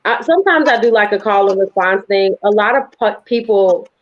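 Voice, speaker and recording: A woman poet from New Orleans with a local accent, talking in a lively, emphatic, conversational way as an interview guest. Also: medium pitch, normal speed, slight background noise. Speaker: guest, spoken word poet. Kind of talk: casual interview talk. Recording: dull lo-fi audio.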